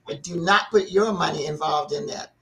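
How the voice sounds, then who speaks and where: A man's voice: on the high side, moderate pace, a crowd in the background. An older man on a talk show panel giving firm, emphatic advice in a casual way.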